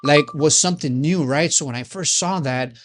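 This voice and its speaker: A man's voice: fairly high pitch, normal speed, faint music behind. Rambling, chatty male host. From Chicago, United States. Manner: loose and repetitive, full of fillers and restarts. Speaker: podcast host. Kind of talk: rambling casual interview chat.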